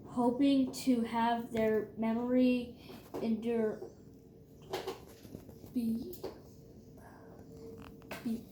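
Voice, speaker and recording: A female reader going haltingly through scripture aloud, stumbling over words. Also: mid-range voice, flat delivery, warm tone. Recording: echoey room with background noise.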